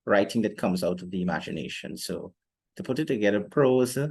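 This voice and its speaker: A young man presenting an explanatory podcast monologue in a measured, deliberate way with formal phrasing. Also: on the low side. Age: young adult. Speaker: student podcast host.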